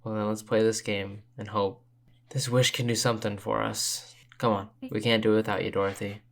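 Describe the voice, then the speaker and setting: A man's voice: on the low side, little pitch movement, brisk, vocal fry. A man playing a character in an improvised tabletop game, speaking in an earnest, restrained way. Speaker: player in tabletop role-playing podcast. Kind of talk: in-character improvised role-play.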